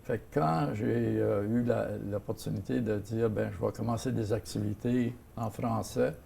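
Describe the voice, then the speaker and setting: Older Franco-Ontarian man, gravelly and hesitant. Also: fairly low pitch, fairly even intonation, normal speed, mellow, a little hiss or hum. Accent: Franco-Ontarian French. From Ottawa, Canada. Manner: hesitant, many fillers and restarts. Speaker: guest, seniors' association president. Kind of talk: casual interview talk.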